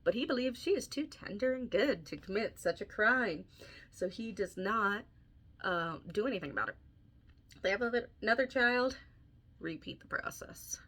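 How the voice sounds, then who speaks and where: A woman's voice: medium pitch, lively intonation, moderate pace, fairly bright tone. Casual, wry woman retelling a fairy tale. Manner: informal, wry asides. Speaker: podcast host and author.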